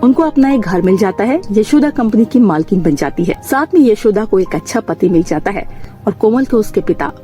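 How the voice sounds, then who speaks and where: A woman's voice: mid-range voice, expressive, normal speed, mellow. A woman reading a scripted moral bedtime story as its narrator. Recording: music bed under the voice. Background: background music.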